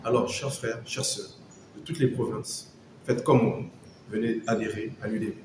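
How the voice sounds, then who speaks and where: A man's voice: fairly low pitch, fairly even intonation, normal speed, a little mellow, reverberant, slight background noise. A man making a formal, rallying statement to the camera, urging listeners to join. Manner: formal, exhortative. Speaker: party member interviewed.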